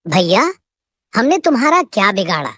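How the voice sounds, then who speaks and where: A worried, loyal father deer in an animal cartoon, acted by a woman. He has a mid-pitched voice and an earnest, humble, emotional way of talking. The recording is dry. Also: very animated, normal speed, a bit bright, dry recording. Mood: pleading. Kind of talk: children's animal moral-story cartoon.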